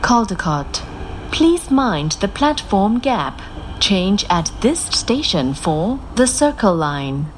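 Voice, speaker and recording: A woman making scripted train announcements in a formal, clearly enunciated, measured manner. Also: mid-range voice, very animated, noisy background. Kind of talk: scripted recorded transit announcements. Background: loud moving train ambience.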